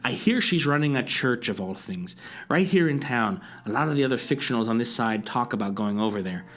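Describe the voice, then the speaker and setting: A man's voice: medium pitch, animated, a little fast, a bit bright. An actor playing a shifty, wheedling dealer in contraband collectibles in an audio drama, with evasive salesman patter.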